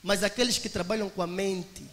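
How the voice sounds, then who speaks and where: A young man with an Angolan Portuguese accent speaks in a bright, ringing voice. He gives a forceful motivational testimony to a congregation, calling them brothers. His words carry a long echo from a church hall. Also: high voice, steady tone, fairly quick. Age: 20s. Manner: emphatic, preacher-like, addresses listeners as brothers. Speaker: young business owner giving a testimony.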